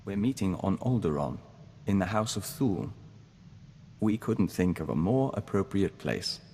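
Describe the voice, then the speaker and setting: An actor playing a serene, courteous hive-bonded diplomat in a video game, speaking in a measured, formal way. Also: male voice, deep voice, sing-song, a little mellow, in a large hall, slight background noise, full character voice. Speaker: companion character in a video game. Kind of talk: scripted game dialogue.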